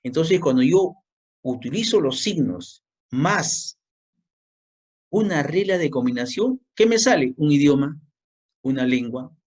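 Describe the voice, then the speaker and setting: A man's voice: a little high, lively intonation, unhurried, long pauses. A male teacher giving a language lesson, repeating key points and quizzing the student with questions. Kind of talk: classroom lecture.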